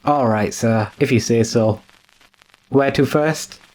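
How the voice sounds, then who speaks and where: A man's voice: medium pitch, slow, a little mellow, lightly acted, low-quality recording. A man playing a nervous, deferential manservant in a radio drama, with a fretful, complaining way of talking. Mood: weary, complaining. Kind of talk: classic radio drama acting.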